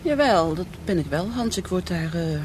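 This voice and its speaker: A woman's voice: on the low side, lively intonation, moderate pace, natural voice. An actress playing a sentimental, uneasy middle-aged mother in a radio drama, hesitant and searching for words. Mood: nostalgic. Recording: noisy outdoor ambience behind dialogue.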